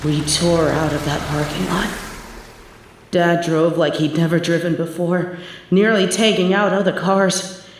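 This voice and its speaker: Hushed young woman telling a horror tale. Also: fairly low pitch, fairly even intonation, a little slow, noisy background. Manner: hushed, tense storytelling. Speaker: audio script performer. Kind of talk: scripted horror narration. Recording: very echoey room.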